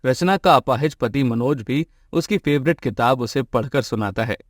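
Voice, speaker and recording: A man's voice: mid-range voice, normal speed, dry recording, studio quality. A man narrating a scripted moral story as a storyteller.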